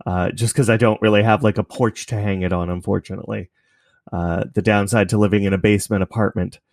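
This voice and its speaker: A man's voice: fairly low pitch, fairly even intonation, fast, gravelly, a little mellow, dry recording, studio quality. A man, speaking as a guest in a casual interview, rambling thoughtfully. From Canada. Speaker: interview guest, podcast creator. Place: home basement apartment, at a computer desk.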